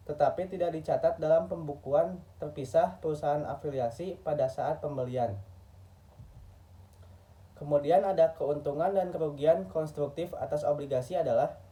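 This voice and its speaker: A man's voice: on the high side, mellow. Muffled, distant man reading a formal lecture. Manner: formal, textbook reading with occasional stumbles. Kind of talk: scripted lecture narration, read aloud.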